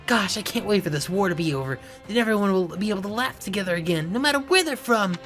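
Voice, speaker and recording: A woman playing an earnest, bright young soldier in a fantasy game, speaking sincerely and openly. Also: mid-range voice, animated, fast, soft background music, lightly acted. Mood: wistful.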